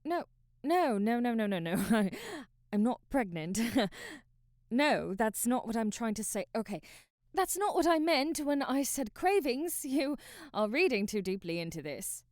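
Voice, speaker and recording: A woman's voice: on the high side, sing-song, measured pace, darkish tone, no room sound, clean studio sound. A woman playing an eccentric traveling magician, brash, teasing and flirtatious, in an intimate, theatrical ASMR roleplay. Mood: flustered, amused. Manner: theatrical, teasing, drawling asides and murmurs.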